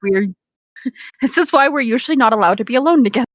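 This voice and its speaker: A woman's voice: fairly high pitch, lively intonation, fast, dry recording, clean studio sound. A woman co-hosting a comedic reading, narrating a story aloud with casual, sardonic asides. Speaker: co-host of a comedic fan-fiction reading.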